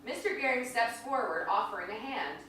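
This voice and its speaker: A woman's voice: a little high, animated, fairly quick, bright, forceful tone. A woman reading scripted stage directions as narrator of a live staged reading. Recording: distant, very echoey room, faint noise.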